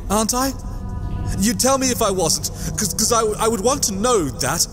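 A man voicing a forgetful, half-mad ancient god who rambles and trails off, halting over his words. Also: sits high, lively intonation. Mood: eerie rambling. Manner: rambling, halting, drifting into sing-song. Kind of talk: motion comic voice acting. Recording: backed by loud music.

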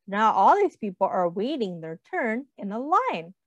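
A woman reading a picture book aloud to young children, expressively, pausing to explain and ask questions. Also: mid-range voice, very animated, no echo, dull audio. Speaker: read-aloud host, teacher.

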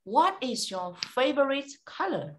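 A young woman, an English teacher giving a child a speaking test over a video call, slow, clear and full of praise. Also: sits high, big pitch swings.

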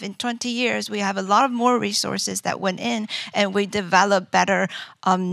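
Bright-voiced woman answering conference questions. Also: medium pitch, gravelly, dry recording. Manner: measured, thoughtful, gesturing while speaking. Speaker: conference speaker. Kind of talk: academic Q&A answer. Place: conference room, seated at a panel table. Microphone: handheld microphone.

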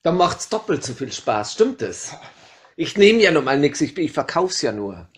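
A nervous, fawning drug dealer, played by a man, talks in a slightly raised voice. He hesitates often and keeps pleasing his client. The scene has the rough feel of a homemade crime radio play. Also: a little high, sing-song, normal speed. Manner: hesitant, fawning, full of filler sounds.

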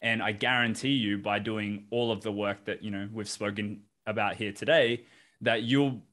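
A young Australian man hosting a podcast over a video call, talking in a relaxed, encouraging way. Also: lowish voice, moderate pace. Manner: relaxed, encouraging, "you know" fillers. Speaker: podcast host. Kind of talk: casual video-call interview. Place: home office, indoors.

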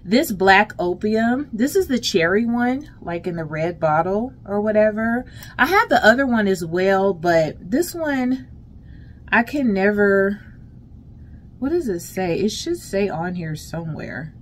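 A middle-aged American woman chatting casually and emphatically to her viewers as a lifestyle vlogger, in an unhurried way. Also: mid-range voice, expressive. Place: closet at home. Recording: clear background noise, home vlog.

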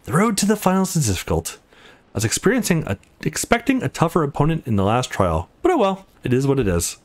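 A man's voice: a little high, very animated, quick pace. A man voicing a cocky young male fighter in a game, mocking and smug.

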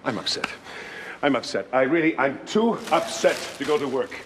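A man's voice: medium pitch, lively intonation, moderate pace, background noise, natural voice. A man playing an older, hammy soap-opera husband with a theatrical, sing-song delivery. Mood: huffy.

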